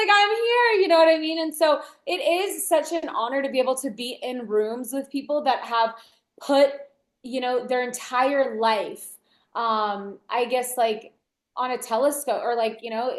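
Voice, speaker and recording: A woman's voice: high-pitched, fairly bright tone, roomy sound. A young woman, raised speaking Portuguese first, chatting upbeat as a podcast guest with lots of "like" fillers. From United States, Brazilian family. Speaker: guest, DJ and entrepreneur. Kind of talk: casual interview chat.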